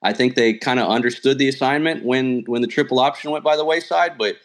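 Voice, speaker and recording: A man's voice: mid-range voice, fairly quick. A man with a mid-range voice speaks as a guest on a sports show. He sounds like an announcer off duty, chatting casually while reasoning through his points in an analytical, conversational manner. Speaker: radio play-by-play sports announcer, podcast guest. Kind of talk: casual sports talk interview.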